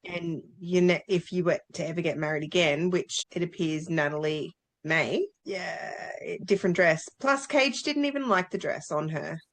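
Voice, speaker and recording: A woman's voice: lowish voice, normal speed. A woman co-hosting a book club podcast in casual chat, blunt and jokey.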